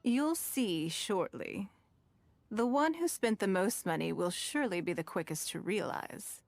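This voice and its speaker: A poised, commanding rich woman runs her own auction in a video game. She speaks in measured, faintly amused tones at a middle pitch. The sound is dry and close. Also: animated, dry recording. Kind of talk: game voice acting.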